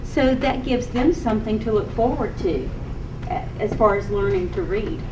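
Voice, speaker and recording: A woman's voice: mid-range voice, moderate pace. A woman teacher leading a classroom discussion in an encouraging, questioning way, recorded in a noisy room on a distant microphone.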